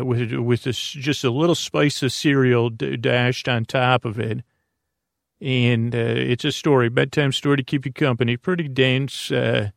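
An American man hosting a bedtime podcast, rambling along in a halting, meandering way and often trailing off mid-thought. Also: mid-range voice, creaky, no room sound, clean studio sound. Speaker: host of a bedtime story podcast.

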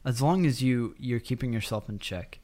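A male podcast co-host giving sincere, faith-minded advice in casual chat. He often restarts his phrases and leans on filler words. His voice sits at an ordinary middle pitch. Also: normal speed, darkish tone.

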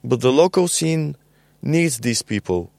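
A young adult man with a Bulgarian accent, a podcast guest talking casually in a halting way with many fillers and repeated words. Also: mid-range voice, animated, vocal fry, darkish tone, dry recording. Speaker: podcast guest, label co-founder. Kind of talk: casual conversational interview.

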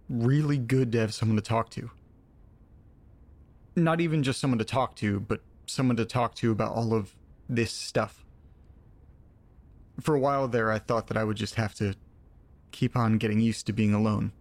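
Actor as a lonely, gravelly-voiced awkward man. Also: medium pitch, a little mellow, no echo, lightly acted. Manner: halting, self-deprecating. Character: lonely, awkward, self-deprecating man confiding his thoughts. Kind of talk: audio drama monologue, casual confessional.

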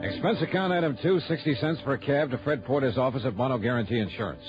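An American actor playing a wry, sardonic insurance investigator in a 1960s radio detective drama, with clipped slangy talk. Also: male voice, mid-range voice, quick pace, over music, close to an everyday voice. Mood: brisk narrating. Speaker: lead actor and narrator. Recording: dull old radio broadcast recording.

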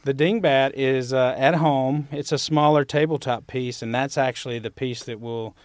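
Male Southern US interview guest, clear smooth voice, conversational, close dry studio sound. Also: mid-range voice, fairly even intonation, moderate pace, no room sound. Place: university building studio.

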